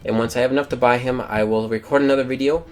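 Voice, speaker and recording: A man's voice: medium pitch, fairly even intonation, quick pace, a little mellow, smooth. A young man casually commenting on his mobile game, rambling and filling gaps with frequent "um"s. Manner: rambling, many "um" fillers. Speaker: gameplay commentator.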